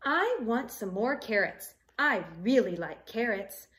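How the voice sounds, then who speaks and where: A woman voicing a fussy, whiny young rabbit child from a storybook, with emphatic, drawn-out complaining. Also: fairly high pitch, very animated, a bit bright. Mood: eager.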